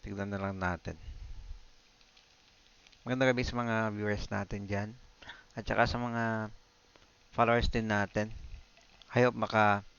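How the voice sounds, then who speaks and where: A man's voice: fairly low pitch, fairly even intonation, normal speed, creaky, long pauses, fairly bright tone, clean tone, muffled recording. A man with a Filipino accent giving casual live game commentary, thinking aloud as he plays. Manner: mixes two languages, thinks aloud. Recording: stream voice with game music. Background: game music.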